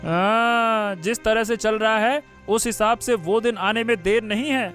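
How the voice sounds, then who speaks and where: Cartoon villain son, male actor: high voice, sneering, contemptuous, rich and scheming, faint music behind. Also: measured pace, a bit bright. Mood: plotting. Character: arrogant, plotting grown son of a rich family. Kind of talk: Hindi cartoon moral-story dubbing.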